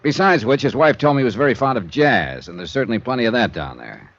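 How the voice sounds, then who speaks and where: A man's voice: mid-range voice, lively intonation, fairly bright tone. An actor playing a hard-boiled male insurance investigator in a 1950s radio drama, dry and wisecracking. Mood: wry. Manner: dry, wisecracking, brisk clipped delivery. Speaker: narrator and lead character. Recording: dull old radio transcription with hiss.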